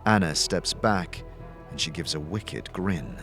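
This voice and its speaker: A man with a lowish voice tells a fantasy tale in the second person, like a game master. His delivery is dramatic and deliberate, with music running clearly underneath. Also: a bit bright. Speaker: narrator and game master of an audio series.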